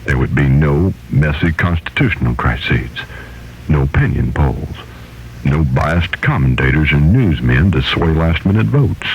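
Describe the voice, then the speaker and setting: A man voicing a solemn, pompous right-wing judge giving a political editorial in deliberate oratory on a radio comedy bit. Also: a bass voice, slight background noise. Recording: dull, lo-fi 1970s radio recording.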